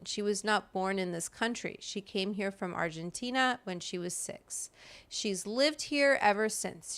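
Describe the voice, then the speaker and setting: A woman's voice: mid-range voice, a bit bright, clean tone. A woman hosting a talk, reading a written political essay aloud in an emphatic, impassioned way with brief asides. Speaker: host reading an article aloud.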